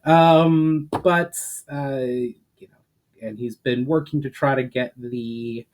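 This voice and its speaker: A man's voice: medium pitch, fairly even intonation, takes it slowly, a little mellow, poor audio. Man reading and introducing his fantasy story. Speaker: author reading own book. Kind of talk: casual chat intro, then dramatic audiobook-style narration.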